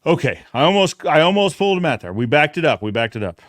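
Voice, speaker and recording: A man with a somewhat high voice hosts an informal recap conversation. He retells the story with animation, slipping into quoted dialogue as he goes. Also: sing-song, fast, a bit bright. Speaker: show host. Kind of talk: casual recap chat with a co-host.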